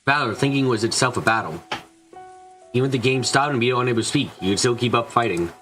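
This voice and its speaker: A man's voice: mid-range voice, lively intonation, fast talker, a bit bright, faint music behind. A man reading scripted visual novel narration as the storyteller.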